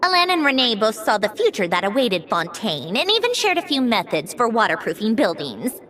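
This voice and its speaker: A woman's voice: fairly high pitch, very animated, a little fast, fairly bright tone, slight background noise. A woman voicing a clever, composed, slightly awkward young woman in a video game, polite and earnest. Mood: explanatory. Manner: polite, earnest, hesitant at times.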